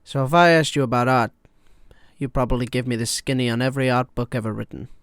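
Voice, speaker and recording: Male actor as weary, wounded therapist, film drama. Measured, pointed delivery, confrontational, close dry recording. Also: medium pitch, fairly even intonation, smooth, no echo, natural voice. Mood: pointed, restrained.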